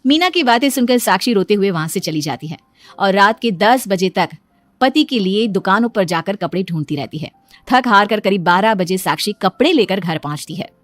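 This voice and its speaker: A woman's voice: mid-range voice, lively intonation, moderate pace, no echo. A woman reading scripted story narration, telling a family tale as a narrator.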